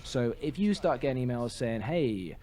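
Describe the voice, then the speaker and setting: A man's voice: mid-range voice, very animated, normal speed, dark tone. A man co-hosting a podcast in casual, opinionated chat, emphatic and prone to swearing. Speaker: podcast co-host.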